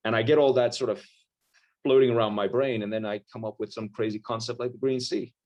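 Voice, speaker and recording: A filmmaker from Ireland with an American-sounding accent, chatting casually in an interview over a video call, rambling with lots of restarts. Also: male voice, mid-range voice, steady tone, fast talker, darkish tone, highs cut off. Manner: rambling, frequent restarts and fillers, self-deprecating.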